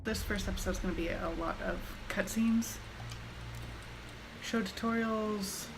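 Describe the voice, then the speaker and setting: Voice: medium pitch, measured pace. A gamer giving casual, offhand commentary while starting a video game playthrough. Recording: clearly noisy background.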